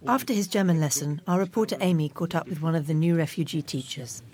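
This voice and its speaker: A woman's voice: low-pitched, fast, mellow. A woman reporter reading scripted report narration.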